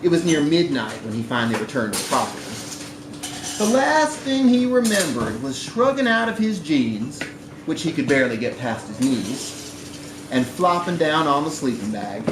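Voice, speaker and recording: A man reading literary fiction aloud to a live audience in a bar, with an expressive, theatrical delivery. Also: fairly high pitch, moderate pace. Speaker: story reader at a live literary event. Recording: echoey live room recording with background noise.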